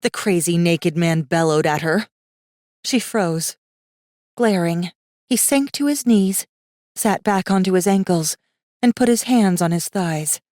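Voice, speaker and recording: Woman reading an audiobook, lowish voice, very animated, dry close sound. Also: unhurried, no echo. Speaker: audiobook narrator. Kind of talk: expressive scripted fiction narration.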